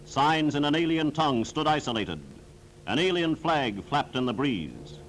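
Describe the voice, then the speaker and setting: A man's voice: fairly high pitch, lively intonation, measured pace, poor audio. A man narrating a scripted 1940s wartime newsreel in a solemn, formal, declamatory style, on a vintage film soundtrack.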